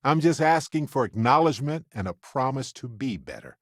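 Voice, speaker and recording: A man playing a wry, warm-hearted middle-aged space explorer in a video game, conversational with earnest asides. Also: mid-range voice, lively intonation, brisk, no room sound, clean studio sound, lightly acted. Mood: earnest, stern. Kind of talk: acted video game companion dialogue.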